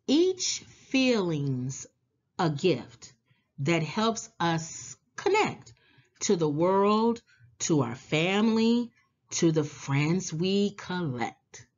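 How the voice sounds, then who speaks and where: A woman reads a picture book aloud for young children at a very slow pace. Her fairly low voice swings expressively, with drawn-out words and deliberate pauses. The recording sounds slightly dull. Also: sing-song, a little mellow.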